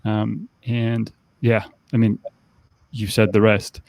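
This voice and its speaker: A man's voice: fairly low pitch, flat delivery, slow pace, creaky, a little mellow, dry recording. A man co-hosting a podcast panel, moderating with careful, wordy questions. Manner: careful, wordy, managing time.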